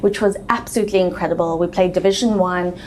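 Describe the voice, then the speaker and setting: A woman's voice: fairly low pitch, steady tone, quick pace, a little mellow, a little hiss or hum, poor audio. A woman in her thirties with a South African accent, telling her story as an interview guest in a chatty way with frequent ums.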